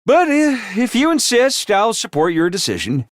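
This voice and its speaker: A man's voice: very high voice, huge pitch swings, moderate pace, dry recording, heavily acted. A man voicing a chirpy, scheming male mascot creature who makes cheery announcements and turns snide and callous. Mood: sly. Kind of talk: video game voice lines. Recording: dry studio recording.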